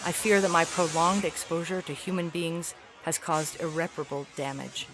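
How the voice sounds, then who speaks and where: A woman voicing a haughty, weary feline alien ambassador in a science fiction audio story, speaking in a formal, measured, articulate way. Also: deep voice, steady tone. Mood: weary disdain. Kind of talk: dramatic reading of an epistolary science fiction story.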